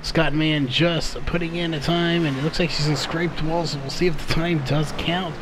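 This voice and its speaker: Brief: male race commentator, voice fraying from a long day, reads out lap times steadily, slightly higher pitch, noisy track audio underneath. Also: steady tone, moderate pace. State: losing his voice. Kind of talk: play-by-play commentary.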